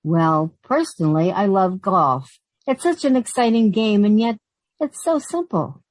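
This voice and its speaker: A woman's voice: mid-range voice, expressive, unhurried, no echo, natural voice. A woman playing a sensible, wry, long-suffering young secretary in a 1940s-style radio comedy. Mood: eager, genteel. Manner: wry, proper.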